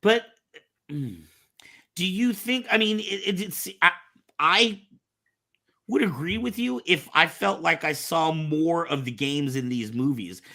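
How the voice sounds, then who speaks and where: He is a podcast host with a forceful, somewhat high male voice. He talks casually and with strong opinions. His sentences stumble and restart as he repeats words. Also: animated, unhurried, vocal fry. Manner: swears casually. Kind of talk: casual nerd-culture discussion.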